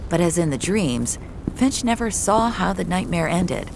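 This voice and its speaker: A woman's voice: lowish voice, very animated, measured pace, creaky, background noise. A woman reading scripted audio fiction as the narrator.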